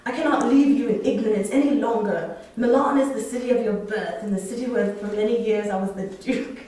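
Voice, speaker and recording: Exiled duke and sorcerer, puppet theatre, played by a woman: imperious, theatrical, fairly high voice, heavy room echo. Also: a little fast, dark tone, close to an everyday voice. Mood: calm, patronizing. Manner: theatrical, commanding, declamatory. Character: proud, imperious male exiled duke and magician, a father. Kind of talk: stage puppet-play acting. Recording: echoey room, distant microphone.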